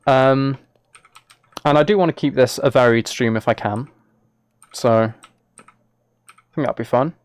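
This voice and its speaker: A man's voice: medium pitch, unhurried, pauses often, smooth. A man chatting casually on a live game stream, thinking aloud as he plays and replying to viewers.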